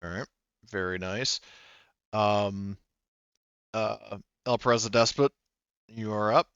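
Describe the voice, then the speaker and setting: A man's voice: on the low side, slow pace, a bit bright, smooth, no room sound, lo-fi sound. A man running a tabletop game as game master, talking casually and explaining rules, with some swearing.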